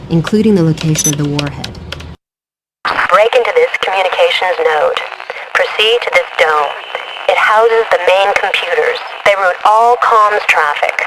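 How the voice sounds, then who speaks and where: A woman's voice: lowish voice, noisy background, natural voice. A woman playing a businesslike, dry-witted mission controller briefing an agent in a video game cutscene. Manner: businesslike, clipped, wry.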